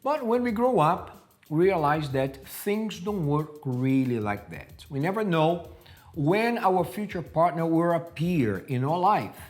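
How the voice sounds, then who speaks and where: A man in his forties presenting a TV talk show in a studio, upbeat and lively. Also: a little high, unhurried. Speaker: host. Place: TV studio, indoors.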